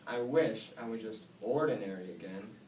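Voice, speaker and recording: A man's voice: lowish voice, normal speed, lightly acted. A man voicing Oscar, a small, eager young male snail who boasts and frets, heard from far off in an echoey room. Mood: sad. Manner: excitable, boastful, then tearful. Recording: echoey room, mic far off, faint hiss.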